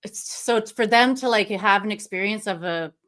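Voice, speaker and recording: A woman's voice: medium pitch, normal speed, a bit bright. A woman hosting a livestream interview, enthusiastic and reflective in casual talk over a video call. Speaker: stream host.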